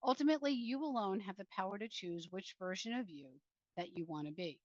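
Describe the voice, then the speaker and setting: A woman author presenting and reading from her self-help book in an earnest, deliberate way, speaking directly to the listener. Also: medium pitch, no echo, highs cut off.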